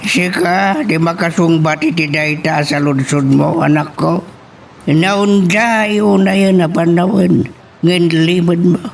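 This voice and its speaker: A man's voice: on the high side, slow pace, vocal fry, a little hiss or hum. A man with an Ilocano accent playing a father advising his grown child in an amateur audio drama. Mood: grave, concerned. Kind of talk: amateur Ilocano radio-style drama acting.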